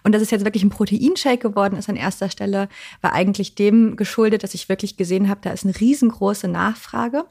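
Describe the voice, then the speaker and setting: A woman's voice: medium pitch, creaky, a little mellow, husky. Young woman founder, casual and chatty, fillers, mid-range voice. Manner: chatty, many fillers, quick asides. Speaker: founder and podcast guest. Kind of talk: casual interview chat.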